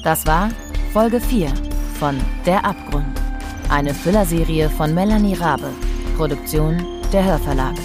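A woman's voice: low voice, measured pace, over music. A woman announcer reading a scripted podcast outro and credits.

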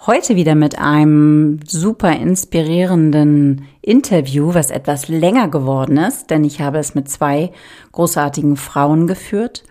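A woman's voice: low voice, dark tone. A woman from Berlin speaking German as the host of an interview podcast, introducing and questioning her guests.

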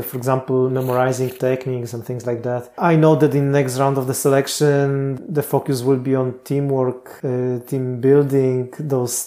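Polish man, non-native English, warm low-key delivery, flat intonation, frequent restarts. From Poland. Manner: thoughtful, frequent restarts and pauses. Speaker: guest, Mars mission candidate. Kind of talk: interview answers.

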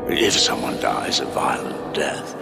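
An actor playing an earnest, brooding middle-aged doctor in an audio drama, speaking in a measured, reflective way. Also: male voice, rumbling low, very animated, gravelly, loud music behind the voice, exaggerated voice. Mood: grave, analytical.

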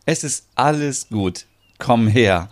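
Male reader as composed woman, unhurried, affectionate, reassuring, gently teasing, audio story. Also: mid-range voice, animated. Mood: soothing.

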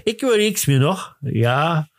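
A man's voice: a little high, sing-song, unhurried, no echo. Rambling male podcast host, close dry sound. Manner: talkative, rambling, hesitant with many broken-off sentences. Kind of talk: casual, chatty podcast conversation.

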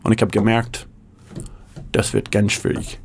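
A middle-aged man from San Francisco, a native English speaker with an American accent, answering interview questions in halting German with many fillers and restarts. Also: on the low side, monotone, a little hiss or hum. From San Francisco, USA. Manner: halting German with frequent fillers and self-corrections. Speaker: guest, tech executive.

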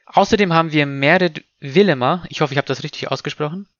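A man hosting a discussion podcast, speaking in a measured, formal and articulate way. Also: a little high, a bit bright, no echo, highs cut off. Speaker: podcast host moderating a panel. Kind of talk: scripted introduction, moderated discussion.

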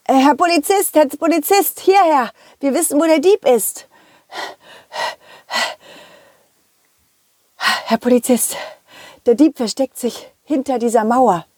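A woman voicing an eager, loyal talking dog in a children's audio story, excitedly repeating words. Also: sits high, normal speed, no room sound, studio quality, pitched above the performer's own voice, natural voice. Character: eager, loyal, confident talking puppet dog, detective helper.

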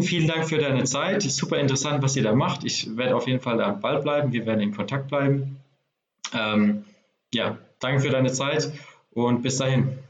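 A man hosting a podcast interview, talking loosely with many fillers as he sums things up. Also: medium pitch. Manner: summarizing, loose and chatty with fillers. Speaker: podcast host. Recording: dull, roomy sound with faint noise.

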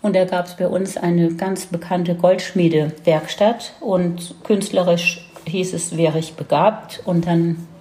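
Woman in her seventies, Baden accent, low mellow voice, reminiscing, unhurried. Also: fairly even intonation, slight background noise. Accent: Baden regional German. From near Heidelberg, Germany. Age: 70s. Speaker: podcast guest, grandmother. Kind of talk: casual storytelling.

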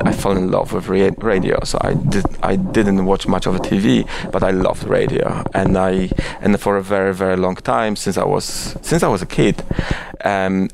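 Low, creaky Polish-accented man. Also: fairly even intonation, moderate pace, hardly any pauses, noisy background. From Poland. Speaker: interview guest, advertising executive. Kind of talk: conversational interview answers. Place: office.

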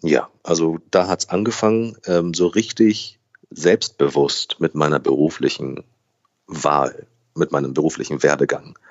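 A young man in his late twenties speaks in a very deep voice. He answers interview questions thoughtfully, pausing and correcting himself as he goes. The recording sounds a little muffled. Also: steady tone. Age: 20s. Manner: thoughtful, restarts and self-corrections. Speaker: guest. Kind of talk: conversational interview.

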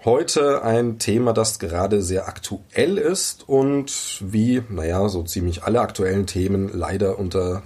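Male host, husky low voice, casual questioner with fillers. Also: unhurried, hardly any pauses, a little mellow. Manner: loose, chatty, filler-heavy questions. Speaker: podcast host. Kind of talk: casual interview moderation.

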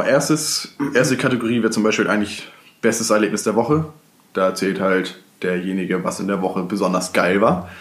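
A man co-hosting a casual podcast from his shared flat, laid-back, chiming in with short agreeing remarks. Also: on the low side, reverberant. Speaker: podcast co-host. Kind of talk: casual chat.

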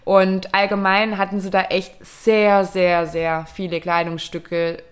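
A woman's voice: mid-range voice, little pitch movement, takes it slowly. A woman co-hosting a fashion podcast, talking casually in a hesitant, rambling way with many fillers. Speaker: podcast co-host. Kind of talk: casual chat.

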